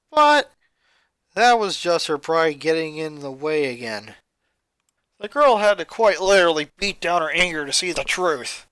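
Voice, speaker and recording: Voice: mid-range voice, a bit bright, no echo. A reader giving a scripted narration of a story, putting on voices for the characters. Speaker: fan fiction reader.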